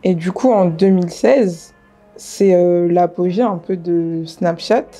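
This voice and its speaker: A woman hosts a casual interview and asks calm, direct questions. Her voice sits a little low, with a dark, mellow tone. Faint music plays beneath her. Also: slow. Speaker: host.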